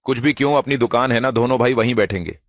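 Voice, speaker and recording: A man's voice: medium pitch, quick pace, no room sound, clean studio sound, close to an everyday voice. A man voicing an earnest elder brother and anxious shopkeeper father in a cartoon. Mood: affectionate. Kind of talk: cartoon voice acting.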